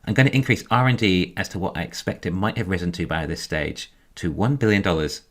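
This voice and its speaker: Low-pitched man narrating financial analysis. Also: fairly quick. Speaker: YouTube finance commentator.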